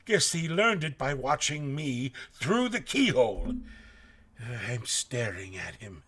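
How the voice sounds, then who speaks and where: Slow, halting nervous sailor. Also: male voice, mid-range voice, very animated, a bit bright, slightly rough, heavily acted. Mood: uneasy storytelling. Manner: halting, stammering, deferential. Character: nervous, rough but honest sailor, male. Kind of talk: live radio drama acting.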